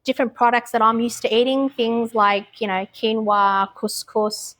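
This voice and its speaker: Australian woman, middle-aged, open and reflective, fairly high voice, casual interview. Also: steady tone, normal speed, a bit bright. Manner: open and reflective, frequent ums and restarts.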